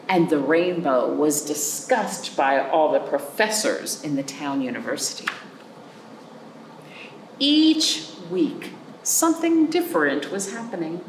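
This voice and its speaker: A woman hosting a children's storytime, reading a picture book aloud expressively with dramatic pauses and stretched words. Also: mid-range voice. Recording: roomy echo, faint background noise.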